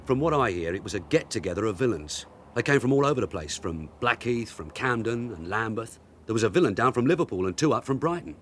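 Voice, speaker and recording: An actor playing a shrewd veteran detective sergeant, wry and blunt, in a naturalistic radio drama. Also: male voice, fairly low pitch, animated, quick pace, hardly any pauses, a little hiss or hum, natural voice. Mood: firm, pressing.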